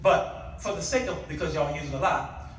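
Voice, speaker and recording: High-pitched man preaching in an echoey church. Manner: exhorting, punctuating points with pauses and rhetorical questions. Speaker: guest preacher. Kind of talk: impassioned church sermon. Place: large echoey indoor church with congregation. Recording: echoey church, distant mic, congregation responding.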